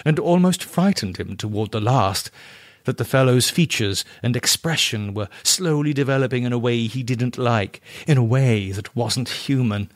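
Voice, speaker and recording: A man reading a first-person horror story aloud in an expressive, deliberate storytelling manner. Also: mid-range voice, no room sound, clean studio sound. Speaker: audiobook reader, podcast narrator.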